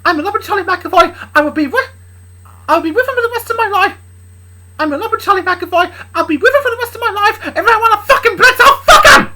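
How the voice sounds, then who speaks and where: Brash, hot-tempered daughter for a told story, acted by a woman: high, animated, insistent, shrill. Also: rapid-fire, hardly any pauses, slight background noise. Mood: angry.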